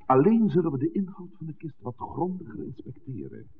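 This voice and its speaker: Lively-pitched village priest, old radio. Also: male voice, a little fast, faint music behind. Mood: measured, firm. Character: composed, authoritative village priest leading a secret investigation. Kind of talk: 1960s Dutch radio play acting. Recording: tinny, noisy old radio recording.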